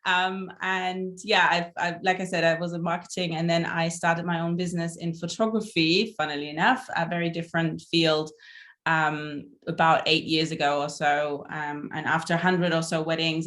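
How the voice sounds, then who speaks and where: A woman's voice: low-pitched, fairly bright tone. A woman from Germany with a German accent, hosting an online networking meeting in casual, chatty talk with many fillers and restarts over a video call. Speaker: meeting host.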